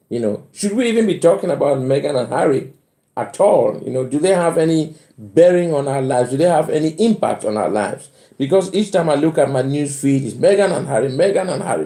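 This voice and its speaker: Mellow Cameroonian man in a roomy space. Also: fairly high pitch. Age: middle-aged. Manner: chuckles often, repeats phrases for emphasis. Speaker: live stream host. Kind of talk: casual live chat, reading out and answering comments. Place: bedroom at home. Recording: roomy indoor echo.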